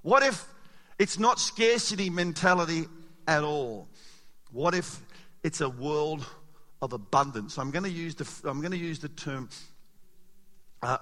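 A male pastor delivers an animated sermon in a high, bright, forceful voice with a slight rasp. His words ring out through a church hall with a long reverb. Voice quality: slightly raspy. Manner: animated, emphatic preaching with rhetorical questions.

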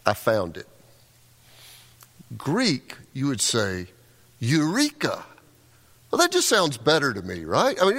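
A man's voice: medium pitch, a bit bright. A male pastor delivering a conversational sermon, often repeating words as he restarts a thought. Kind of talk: sermon with scripture reading. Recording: long hall reverb.

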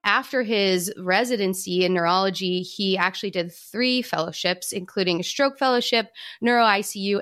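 A woman's voice: medium pitch, fairly bright tone. A woman hosting a podcast and interviewing a guest, talking in an upbeat, friendly way. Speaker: podcast host.

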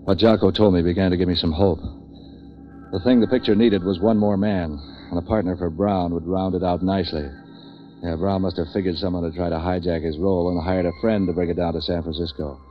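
An actor playing a tough, sardonic man for hire with an American accent, clipped and deadpan, in 1940s radio drama style. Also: low-pitched, a little fast, soft background music, close to an everyday voice. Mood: brooding narration. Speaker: lead actor and narrator. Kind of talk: 1940s hardboiled radio acting. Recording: muffled lo-fi 1940s radio recording.

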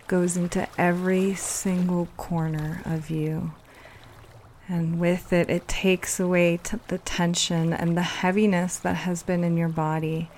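A woman leading a guided meditation, giving soothing, unhurried instructions with pauses. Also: on the low side, a little mellow, slight background noise.

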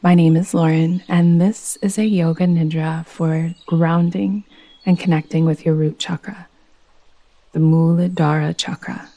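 A woman leading a guided meditation as a yoga nidra teacher, speaking in a soothing, gentle, unhurried manner. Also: low voice, steady tone.

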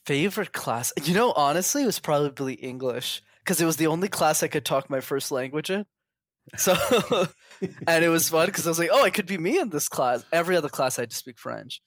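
A man's voice: fairly high pitch, animated, brisk, no room sound, clean studio sound. A man telling his story as an interview guest in a candid, chatty, casual way. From Montreal, Canada.

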